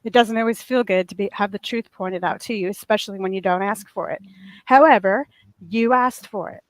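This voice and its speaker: A woman on a casual panel chat with a soft voice that turns crackly and raw, telling a long, earnest, indignant story. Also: medium pitch, brisk, no echo.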